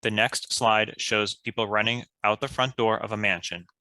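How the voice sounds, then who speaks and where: A man's voice: on the low side, steady tone, moderate pace, bright, forceful tone. A man reading scripted stage directions as a narrator. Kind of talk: scripted narration of screenplay action.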